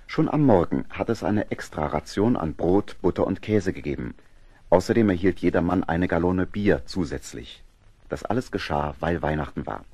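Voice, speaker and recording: A man narrating a scripted radio play in a measured, literary storytelling manner. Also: low-pitched, darkish tone, slightly rough, no echo.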